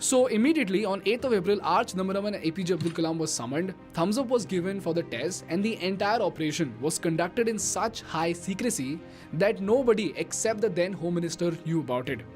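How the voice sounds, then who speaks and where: Male explainer narrator, Indian accent, high register, emphatic delivery to the audience, light music bed. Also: lively intonation, quick pace, darkish tone. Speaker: video narrator.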